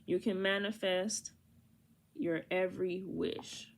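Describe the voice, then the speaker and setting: A woman's voice: on the low side, fairly even intonation, takes it slowly, gravelly, a little hiss or hum. A woman giving a casual tarot reading. She sounds congested from allergies and talks to the viewer in a chatty, slangy way. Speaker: tarot reader.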